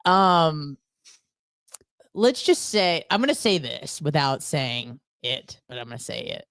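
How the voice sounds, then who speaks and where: Low-voiced American woman venting candidly, close and dry. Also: slow, fairly bright tone, dry recording. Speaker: radio show co-host. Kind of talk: casual opinionated podcast chat, venting.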